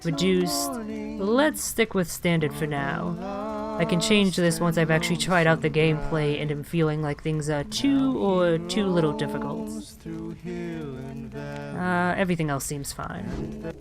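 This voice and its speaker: A let's player giving casual video game commentary, musing out loud and reading game text aloud. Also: medium pitch, measured pace. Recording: game music behind the voice.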